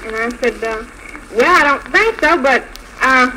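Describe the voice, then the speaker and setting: High, bright American woman on vintage radio. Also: expressive, measured pace. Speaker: radio show guest. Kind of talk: live 1940s radio interview, telling anecdotes. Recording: noisy old 1940s radio recording.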